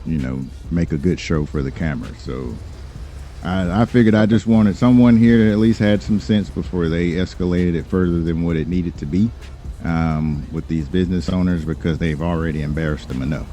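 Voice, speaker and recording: A man playing a composed, sharp lawyer with a quiet crime-boss side in online roleplay, speaking in measured, formal phrases. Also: deep voice, slight background noise, close to an everyday voice. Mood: measured, mildly indignant. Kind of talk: improvised in-character online roleplay dialogue.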